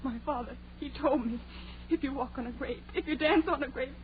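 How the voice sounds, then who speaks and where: A very high-voiced, easily frightened young woman acted by a woman in an old radio horror play. She pleads with superstitious, hysterical dread. The recording is narrow and clearly noisy. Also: a little fast, close to an everyday voice. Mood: terrified. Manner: panicky, breathless pleading.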